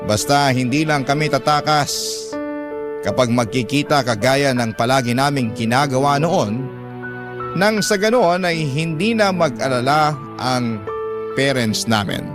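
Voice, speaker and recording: Male Filipino radio host, medium pitch, storytelling narration of a letter, music clearly underneath. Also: animated, a little slow. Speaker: radio show host reading a listener's letter. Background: background music.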